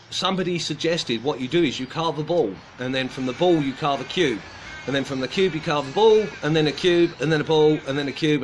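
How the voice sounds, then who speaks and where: A man's voice: medium pitch, noisy background. A man from Australia with a British accent, hosting a casual livestream and greeting viewers, hesitant and in a subdued mood. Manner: halting, hesitant, many pauses and restarts. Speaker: livestream host of a carving group.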